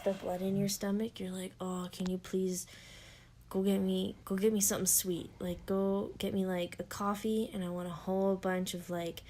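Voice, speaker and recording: Young Canadian woman, flat casual delivery. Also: medium pitch, normal speed. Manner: colloquial, frequent use of "like". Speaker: interviewee, the host's daughter. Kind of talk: casual family conversation.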